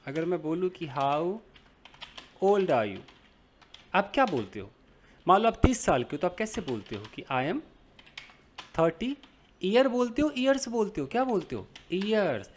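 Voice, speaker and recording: A young man with an Indian accent teaching English to beginners, reading English phrases slowly and explaining them with many questions to his viewers. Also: high voice. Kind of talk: explaining a newspaper article to beginners.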